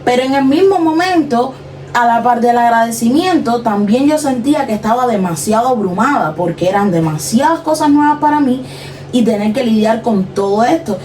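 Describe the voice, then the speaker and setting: Earnest Cuban woman, soft reflective vlog. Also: fairly high pitch, lively intonation, normal speed, a little hiss or hum. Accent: Cuban Spanish. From Cuba. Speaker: vlogger. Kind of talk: personal confessional talk to camera.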